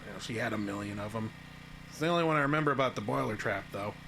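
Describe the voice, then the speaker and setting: A man's voice: lowish voice, fast, creaky, background noise. An actor playing a plain-spoken small-town handyman in an audio drama, folksy, skeptical and matter-of-fact. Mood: folksy storytelling.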